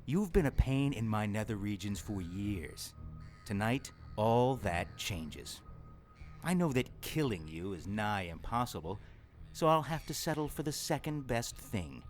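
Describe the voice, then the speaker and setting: A man's voice: fairly low pitch, sing-song, unhurried, soft background music. A man playing a pompous, menacing demon villain in an audio drama, gloating in a theatrical way. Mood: smug menace. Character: gloating male demon villain posing as human lover.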